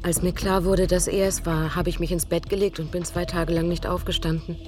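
An actress voicing a grieving woman in a radio play. Her voice is lowish and sorrowful. Music plays clearly beneath her. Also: little pitch movement, fairly quick, lightly acted. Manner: sorrowful, questioning.

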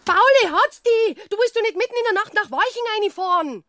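A woman's voice: extremely high pitch, lively intonation, fairly quick, sharp tone, slight background noise, heavily acted. An actress playing a chatty, teasing young woman with a Bavarian accent in a radio crime drama. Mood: scolding, worried. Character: chatty, teasing, down-to-earth young sweetheart of a policeman.

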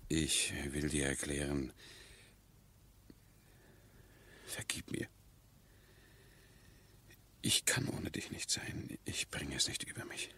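An actor in a radio play playing an anguished, headstrong baron in love, with an impassioned, dramatic delivery. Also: male voice, extremely low pitch, vocal fry, breathy edge, full character voice. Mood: hushed, pleading. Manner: impassioned, dramatic, swinging from hushed to shouting. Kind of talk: German radio play acting.

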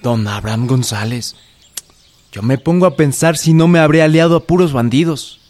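A man's voice: on the high side, moderate pace, a little mellow, soft background music, natural voice. An actor playing a respectable, uneasy farmer wary of his allies in a radio drama. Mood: worried.

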